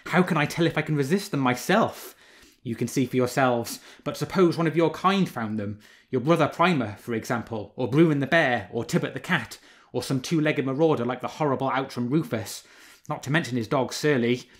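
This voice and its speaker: A man voicing a sly, flattering male fox who fawns and schemes. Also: medium pitch, fairly quick, natural voice. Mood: scheming. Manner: fawning, bowing and scraping.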